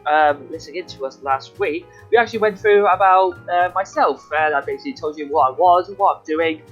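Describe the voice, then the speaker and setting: A man's voice: a little high, a little fast, fairly bright tone, husky. A young man co-hosting a casual live gaming stream, jokey and rambling with fillers. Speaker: co-host. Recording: dull lo-fi mic, faint music.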